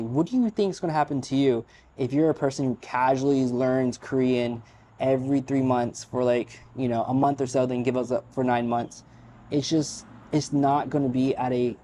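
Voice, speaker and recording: Frank, rambling man with a mellow voice. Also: medium pitch. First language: English. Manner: frank, opinionated, rambling with fillers. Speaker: vlogger. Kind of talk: unscripted casual monologue.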